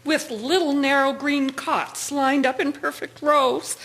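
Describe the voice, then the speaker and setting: An actress playing a cheerful, appreciative army nurse in a wartime radio drama. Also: female voice, high-pitched, steady tone, measured pace, a bit bright.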